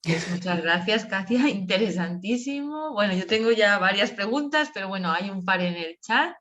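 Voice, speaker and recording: A woman's voice: mid-range voice, expressive, moderate pace, a bit bright. A woman moderating an academic video conference, enthusiastic and posing long framed questions. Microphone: video call microphone. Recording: dull lo-fi video call audio.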